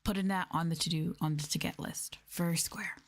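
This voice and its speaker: A woman speaking softly and intimately in a casual ASMR chat, at times dropping to a whisper. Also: on the low side, fairly even intonation, normal speed, slight background noise. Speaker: streamer, VTuber.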